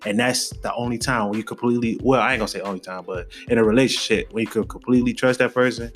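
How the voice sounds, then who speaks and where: Slangy man with medium-pitched voice. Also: fairly even intonation, fast, faint music behind. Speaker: podcast co-host. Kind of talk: casual chat.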